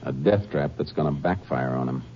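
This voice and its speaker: An actor playing a steady, shrewd Texas Ranger in a 1950s radio drama, speaking in terse, commanding phrases. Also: male voice, rumbling low, fairly quick. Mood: grim, resolute. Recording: noisy, muffled old-time radio recording.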